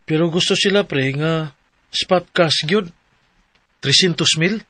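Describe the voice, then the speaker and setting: Hesitant longtime friend, radio drama. Cebuano accent, dry recording, moderately high pitch. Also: male voice, a little slow, pushed and bright, no room sound. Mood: hesitant, explaining. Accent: Cebuano Filipino. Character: husband's longtime friend, hesitant land seller.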